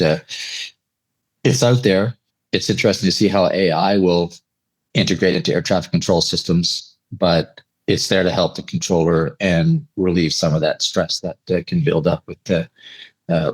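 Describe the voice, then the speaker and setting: A man from Eastern Canada with a notably deep voice talks as an interview guest. He tells stories in a conversational, measured manner. The sound is dry, with very little room around the voice. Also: husky, no echo, poor audio. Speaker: interview guest, air traffic controller telling stories. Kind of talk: conversational anecdotal storytelling.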